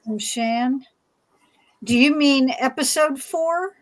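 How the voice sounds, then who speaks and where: A woman moderating a fan panel discussion, putting questions to the panelists in a halting, rambling, conversational way. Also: sits high.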